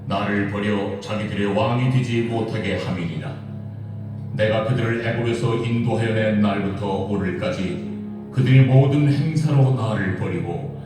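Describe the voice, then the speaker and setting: A man's voice: fairly low pitch, close to an everyday voice. An actor playing a solemn, stern God speaking to his prophet in an audio Bible drama. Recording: echoey hall playback from far off.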